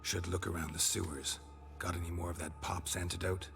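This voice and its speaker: An actor playing a stoic, world-weary monster hunter in a video game, terse and deadpan. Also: male voice, deep voice, fairly quick, gravelly. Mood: dry, probing. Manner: terse, deadpan, muttering to himself. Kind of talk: dramatic video game dialogue. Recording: background music behind the voice.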